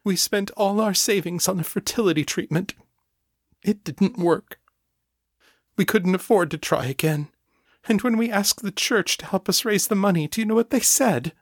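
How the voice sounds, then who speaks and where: A man voicing a grieving, furious middle-aged mother, high and tearful as she pleads and rages. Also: normal speed, no echo, clean studio sound. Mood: bitter.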